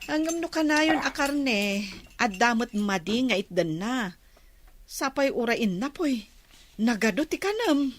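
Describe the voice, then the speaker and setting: Woman acting a high-pitched nagging village wife. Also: lively intonation, a little slow. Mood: scolding. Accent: Ilocano. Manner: complaining, exclamatory. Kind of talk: Ilocano radio comedy drama acting. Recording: noticeable background noise.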